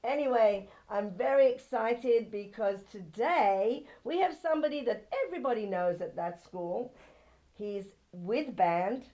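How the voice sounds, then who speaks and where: A woman's voice: on the high side, measured pace, warm tone, rough recording. A woman with a non-American English accent hosting a local TV talk show, bubbly and gushing as she interviews a guest and talks to viewers. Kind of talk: chatty TV interview.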